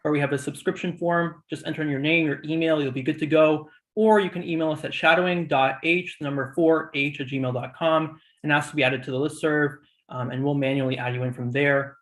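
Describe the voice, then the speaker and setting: A man's voice: a little high, steady tone, fairly quick, hardly any pauses. A man hosting an online session, giving informal introductions and housekeeping announcements. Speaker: host of a virtual student shadowing session.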